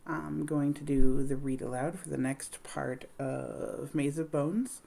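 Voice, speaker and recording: Low-pitched woman reading aloud. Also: steady tone, slow, gravelly, dark tone. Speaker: read-aloud reader. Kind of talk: children's book narration.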